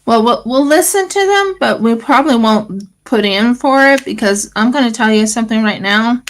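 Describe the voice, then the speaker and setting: A woman's voice: fairly high pitch, expressive, normal speed. A woman with an Australian accent and a scratchy voice, chatting casually and rambling as host of a craft livestream. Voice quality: scratchy morning voice. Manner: rambling, fillers, restarts.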